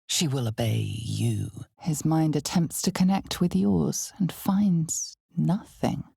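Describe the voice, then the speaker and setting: A woman voicing an ominous, knowing narrator for a fantasy video game, speaking in a measured, portentous way with a breathy, gravelly voice. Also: low-pitched, mellow, dry recording, clean studio sound, heavily acted. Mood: hushed, portentous. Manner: measured, deliberate, portentous delivery. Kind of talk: dramatic scripted second-person fantasy narration.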